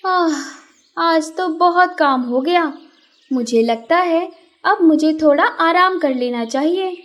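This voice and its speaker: Woman as a high-voiced, kind little bird. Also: takes it slowly, a little hiss or hum, full character voice. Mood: tired. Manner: gentle, earnest, helpful. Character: kind, hardworking young female bird water carrier. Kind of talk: children's cartoon character acting.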